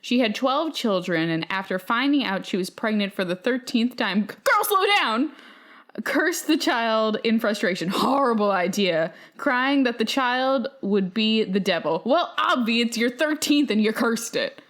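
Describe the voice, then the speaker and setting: High-voiced, irreverent woman podcast host. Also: expressive, measured pace, a bit bright. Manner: irreverent, profane, wry asides. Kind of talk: casual chat, reading listener stories aloud.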